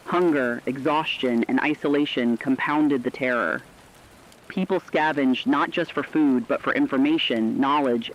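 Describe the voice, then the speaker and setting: A man delivering scripted apocalyptic horror narration in a grave, measured storytelling manner. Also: medium pitch, lo-fi sound.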